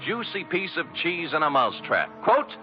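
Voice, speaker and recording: An actor playing a brash, wisecracking private detective in a flippant, slangy 1940s radio style. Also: male voice, fairly high pitch, big pitch swings, moderate pace, soft background music. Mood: wry. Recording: dull vintage radio recording.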